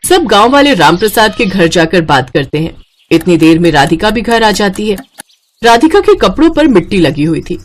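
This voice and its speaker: A woman's voice: medium pitch, sing-song, moderate pace. A woman narrating a scripted moral story as a storyteller.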